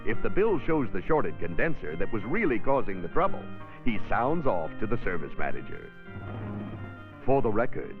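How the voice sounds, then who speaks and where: An actor playing an exasperated, sardonic car service man narrating a comic 1960s training film in slangy, theatrical style. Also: medium pitch. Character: wisecracking, exasperated car dealership service man griping about customers. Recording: tinny, band-limited 1960s film soundtrack, music behind.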